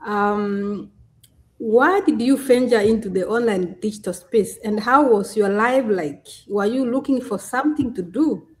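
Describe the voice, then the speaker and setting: A woman hosts a live stream. Her voice sits at a middling pitch, and she chats along with plenty of ums and restarts. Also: a little slow. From Kenya. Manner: chatty, many fillers and restarts.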